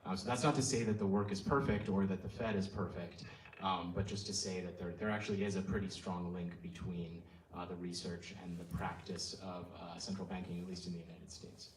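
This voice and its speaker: A man speaking on a panel, halting and heavy with ums. His voice is very low and sounds far from the mic, with a hall's echo around it and a faint hiss. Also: fairly even intonation. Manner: halting, many ums and uhs. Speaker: panelist economist. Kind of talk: panel discussion answer. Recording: roomy hall, mic at a distance.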